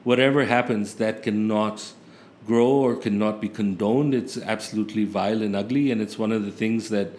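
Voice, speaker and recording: A man's voice: fairly low pitch, steady tone, normal speed, a little mellow. Earnest man thinking aloud. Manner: earnest, thinks aloud, frequent restarts and agreeing "yeah". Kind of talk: casual political discussion. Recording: faint background noise.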